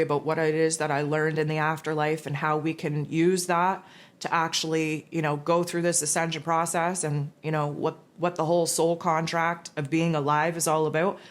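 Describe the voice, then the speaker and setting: A raspy, hoarse woman hosting a spiritual forecast, talking in a loose, rambling casual monologue. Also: sits low. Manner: rambling, loose, with many fillers and restarts. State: hoarse from losing her voice.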